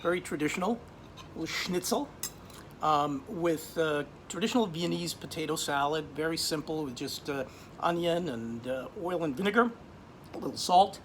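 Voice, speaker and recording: A middle-aged man from Austria, casually presenting a wine in a relaxed, chatty way, full of ums and restarts. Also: a little high, normal speed, slight background noise. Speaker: wine shop buyer. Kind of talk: casual wine tasting video.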